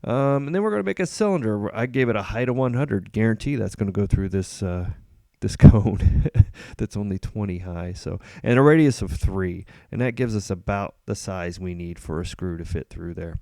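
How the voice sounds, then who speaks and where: Easygoing guy walking through his code, lots of ums, warm lowish voice, dry close sound. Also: normal speed, creaky, no echo. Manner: relaxed, rambling with many ums and uhs. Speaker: host. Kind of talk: casual screen-recorded coding tutorial.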